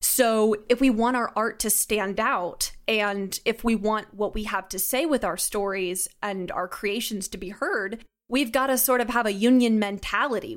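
A young woman with a mid-range voice speaks as a guest creator in an interview. She is articulate and enthusiastic, explaining things in a conversational way. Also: medium pitch. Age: young adult. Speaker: audio drama creator, podcast guest.

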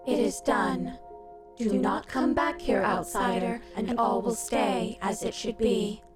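A woman voicing a slow solemn mystic spirit. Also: fairly high pitch, steady tone. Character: ancient mystic spirit speaking prophecy. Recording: strong music behind.

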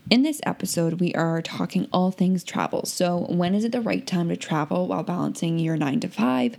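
A woman hosts a podcast, chatting with her guest in an upbeat, encouraging tone. Her voice carries a gravelly, fry-tinged edge. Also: lowish voice, brisk, a little mellow. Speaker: podcast host. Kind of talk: podcast interview.

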